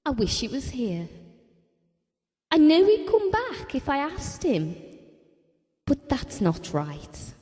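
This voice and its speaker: A fragile mother clinging to her lost daughter, in an intimate audio drama. The voice is a little high and warm, haunted and reflective. It rings out in a long reverb. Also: huge pitch swings, slow, long pauses, full character voice. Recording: long reverberant hall sound.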